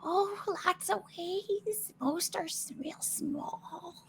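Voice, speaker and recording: Little rat, high squeaky whisper, timid, hesitant, tabletop game creature. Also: female voice, very slow, slight background noise, heavily acted. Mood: timid, helpful. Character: tiny timid panicked rat.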